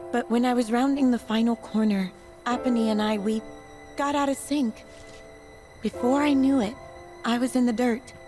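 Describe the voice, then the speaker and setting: A woman's voice: on the high side, close to an everyday voice. A woman playing a guarded, earnest, downcast young former racer in a video game, with a defensive delivery. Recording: loud music behind the voice. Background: loud game music.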